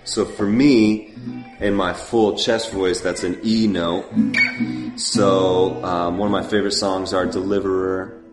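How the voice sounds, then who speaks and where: A man with a low voice talks through worship leading in a loose, chatty way, dotted with ums and likes. The sound is roomy, with faint music underneath. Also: little pitch movement, measured pace. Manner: loose and chatty, many fillers. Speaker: worship leader teaching. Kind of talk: casual teaching talk.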